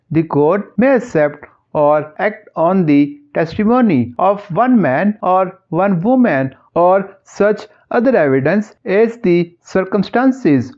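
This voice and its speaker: Slow Pakistani law tutor, lively pitch, muffled audio. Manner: deliberate, instructional, reads English passages word by word. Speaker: law tutor, channel host. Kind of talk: scripted legal lesson, reading statute and explaining it. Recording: dull lo-fi muffled recording.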